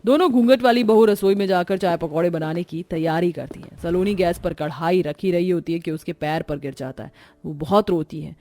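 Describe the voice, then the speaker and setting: A woman's voice: on the low side, fairly quick, mellow, a little hiss or hum. A woman narrator reading a scripted story as a storyteller.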